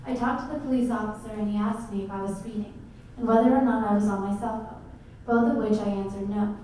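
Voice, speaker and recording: A woman's voice: medium pitch, fairly even intonation, fast, dark tone, a little hiss or hum. A teenage girl reading her essay aloud steadily. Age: teens. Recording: echoey room from far off.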